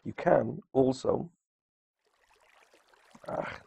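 A man's voice: very slow, mellow, dull audio. A man giving a casual, unscripted video game tutorial, informal and a little hesitant as he thinks aloud. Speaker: gamer presenting a video walkthrough.

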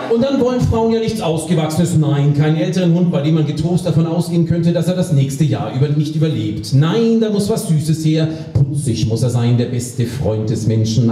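A man performs a humorous spoken-word text on stage, deadpan, with theatrical pauses and emphasis. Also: on the high side. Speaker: poetry slam performer. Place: small club stage with live audience. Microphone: stand microphone on stage, picked up by distant camera. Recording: very echoey hall, distant camera microphone, audience.

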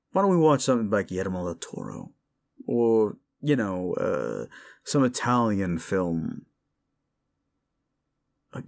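Male actor as a mocking demon for audio roleplay: low, creaky, sarcastic and gleefully cruel. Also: full character voice. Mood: irritated, teasing. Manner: sarcastic, taunting, drawling. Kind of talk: intimate audio roleplay addressed to the listener.

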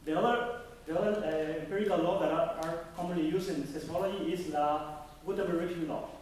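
Halting young man speaking in an echoey hall. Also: fairly high pitch, steady tone, darkish tone. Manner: halting, searching for words, frequent restarts. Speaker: conference speaker. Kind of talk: academic presentation. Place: conference hall. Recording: echoey hall from far off with faint noise.

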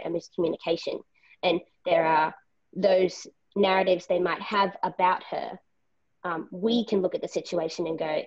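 A woman's voice: lowish voice, darkish tone, husky, lo-fi sound. A woman participant making a thoughtful, analytical spontaneous comment over a video call. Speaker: workshop participant.

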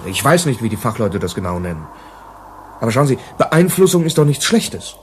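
A man plays an impatient male junior manager in a sci-fi radio play. His medium-pitched voice is highly animated as he doubts and presses. Faint noise sits behind it. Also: moderate pace, lightly acted. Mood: sceptical. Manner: questioning, pressing. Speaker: radio drama actor.

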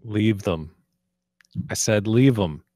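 A man's voice: on the low side, takes it slowly, mellow, no room sound, studio quality. A man playing a crude, domineering gambler who runs a poker table, curt and commanding, in a script table read. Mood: menacing, curt.

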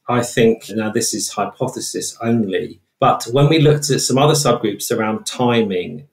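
A British man, a trauma researcher, explaining findings in an interview in a measured, technical way, with a roomy sound. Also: lowish voice.

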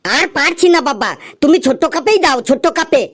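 A woman's voice: high-pitched, expressive, brisk, background noise. A woman voicing a boastful, exasperated male customer in a comic cartoon, with a whiny, melodramatic delivery. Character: boastful, exasperated male tea-stall customer cadging credit. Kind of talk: exaggerated comic cartoon dialogue.

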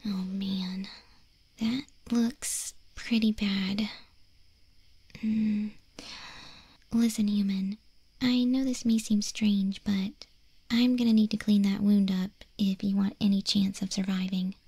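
Woman as a breathy, whispery wolf girl. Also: medium pitch, flat delivery, no echo, heavily acted. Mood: concerned. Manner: soft, breathy half-whisper, gentle and unhurried. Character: caring, teasing young wolf girl monster. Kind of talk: ASMR roleplay. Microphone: close, dry studio microphone.

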